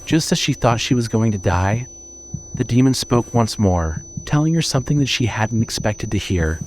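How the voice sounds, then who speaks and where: A man narrating scripted horror fiction in a measured, dramatic storytelling manner. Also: mid-range voice, lively intonation, slight background noise, rough recording.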